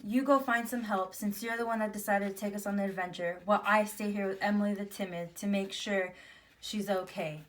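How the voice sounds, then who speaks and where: A woman's voice: medium pitch, steady tone, brisk, natural voice. Woman playing a cautious, scolding sister mouse, slightly distant. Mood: stern, protective.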